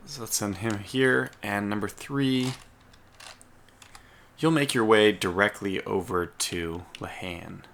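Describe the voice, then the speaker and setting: Hoarse man muttering through a strategy game. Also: fairly low pitch, takes it slowly, fairly bright tone, a little hiss or hum. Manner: muttering to himself while playing. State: losing his voice, clearing his throat. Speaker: let's play host. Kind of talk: casual gameplay commentary.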